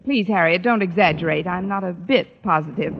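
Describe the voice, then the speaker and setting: An actress playing a wry, quick-witted middle-aged high school teacher with a dry, wisecracking delivery in an old radio comedy. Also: female voice, gravelly, over loud music. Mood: wry, droll. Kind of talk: 1940s-50s radio comedy acting. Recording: muffled, noisy old radio recording.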